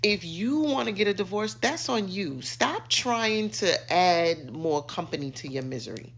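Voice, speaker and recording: A middle-aged American woman giving an opinionated rant to camera, emphatic, sassy and theatrical, with dramatic pauses and drawn-out words. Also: fairly low pitch, expressive, breathy edge. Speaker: social media commentator.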